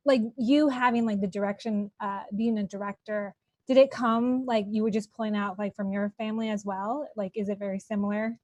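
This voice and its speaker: A woman's voice: mid-range voice, steady tone, brisk, darkish tone, no room sound. A woman in her late twenties hosting a casual interview, bubbly, laughing often and talking with lots of fillers. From Colombian and Mexican heritage. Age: 20s. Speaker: host.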